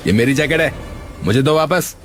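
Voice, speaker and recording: A man voicing a greedy young assistant turned thief in a cartoon, talking in rough, pushy demands. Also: medium pitch, expressive, normal speed, fairly bright tone, lightly acted. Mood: demanding. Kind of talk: cartoon voice acting.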